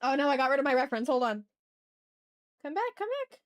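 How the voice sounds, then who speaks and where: Higher-voiced woman chatting on an art stream. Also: lively intonation, fast. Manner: informal, slangy, effusive with viewers; thinks aloud while working. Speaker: art livestream host. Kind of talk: casual livestream chat while drawing.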